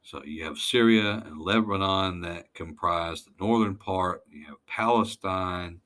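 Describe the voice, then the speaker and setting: A man lecturing informally over slides, halting and deliberate, putting weight on key words. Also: low voice, fairly bright tone.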